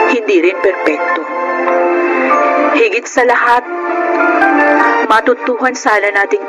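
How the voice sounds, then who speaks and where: A woman's voice: mid-range voice, lightly acted, poor audio. A Filipino woman acting in a radio drama as a working daughter, easily exasperated, hurt but repentant. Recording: loud music under studio drama voices.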